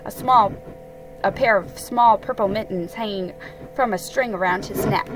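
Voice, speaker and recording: A woman storyteller giving an expressive, deliberate dramatic reading of a children's story. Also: mid-range voice, music in the background, poor audio.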